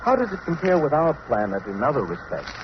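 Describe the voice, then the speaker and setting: An actor playing a respectful, curious junior space traveler in an old radio drama, deferential and questioning, on a very noisy, muffled recording. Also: male voice, on the high side, very animated, quick pace. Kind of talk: vintage radio drama acting.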